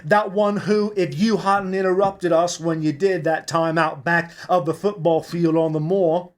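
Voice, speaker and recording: A man's voice: a little high, fairly even intonation, unhurried, pitched above the performer's own voice, lightly acted. A man voicing a resentful, scornful pub landlord in his thirties for an audiobook, speaking emphatically. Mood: reminiscing. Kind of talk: audiobook character acting.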